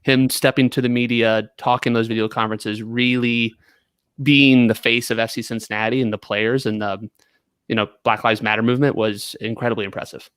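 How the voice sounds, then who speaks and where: A man's voice: mid-range voice, flat delivery, fairly quick, fairly bright tone, clean tone, no echo. A man co-hosting a soccer podcast, speaking earnestly and correcting himself. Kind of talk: casual chat.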